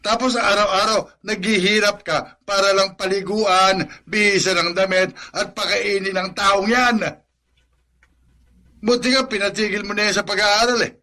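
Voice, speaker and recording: A man's voice: sits high, flat delivery, unhurried, pushed and bright. A man playing a harsh, scornful Filipino father in a radio drama, scolding bluntly and impatiently.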